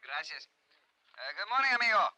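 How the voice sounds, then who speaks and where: High, sharp-voiced Latin police chief on telephone. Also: male voice, sing-song, dry recording, full character voice. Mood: genial. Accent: Spanish. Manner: halting, searching for English words. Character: genial, wry Venezuelan police chief on the telephone. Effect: telephone filter. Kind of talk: old-time radio drama acting. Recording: dull, band-limited old radio phone effect.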